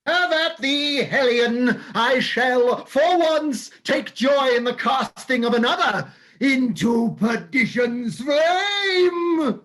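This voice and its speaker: A man playing a murderous gentleman raven in a play reading, pompous and declamatory with archaic diction. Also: extremely high pitch, lively intonation, slow pace, full character voice. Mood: righteous fury. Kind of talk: dramatized play script reading.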